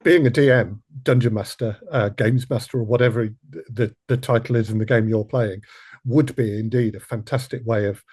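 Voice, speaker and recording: A man's voice: medium pitch, fairly even intonation, normal speed, a little mellow, breathy edge, no echo. A man hosting a conversational discussion, reflecting aloud and often tagging questions onto the ends of his sentences. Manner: reflective, adds tag questions. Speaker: podcast host.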